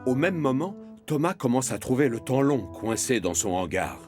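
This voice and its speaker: A man's voice: mid-range voice, normal speed. Male children's story narrator over music. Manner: expressive storytelling.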